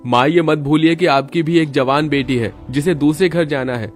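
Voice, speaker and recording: A man's voice: a little high, moderate pace, soft background music, close to an everyday voice. A man voicing a stern, protective young husband in a cartoon story, firm and reproachful. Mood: stern, warning. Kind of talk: cartoon moral story acting.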